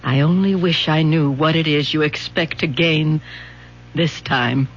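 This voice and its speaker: A woman's voice: deep voice, measured pace, a little hiss or hum. Wounded middle-aged mother: fragile, bitter, emotional radio drama delivery on a dull, faintly humming recording. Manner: pleading, emotional. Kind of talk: 1970s radio drama acting.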